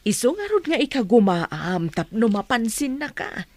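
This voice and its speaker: A woman's voice: fairly high pitch, sing-song, normal speed, darkish tone, no room sound. An actress playing a middle-aged, scheming mother in a radio drama, speaking in an insistent, coaxing way. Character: coaxing, scheming, defensive mother of grown daughter.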